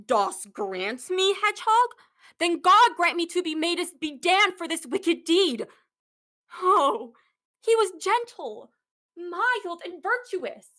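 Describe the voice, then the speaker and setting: Casting: young actress for furious bereaved noblewoman, Shakespearean radio drama, extremely high, sharp voice, scornful and impassioned. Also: big pitch swings, takes it slowly, full character voice. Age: young adult. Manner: declamatory, impassioned. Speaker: acting student. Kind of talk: Shakespearean radio play acting.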